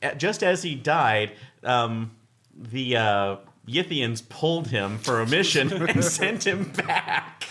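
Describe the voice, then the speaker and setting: A man's voice: mid-range voice, expressive, takes it slowly, sharp tone. A man on a podcast panel, talking casually and explaining tabletop game material.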